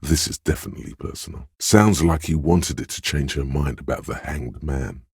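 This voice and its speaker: A very deep, husky, insinuating inner voice. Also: male voice, darkish tone, no echo, clean studio sound, heavily acted. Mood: knowing, coaching. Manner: measured, knowing, insinuating coaching. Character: Suggestion, a sly insinuating inner voice reading people and coaching. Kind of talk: scripted video game narration.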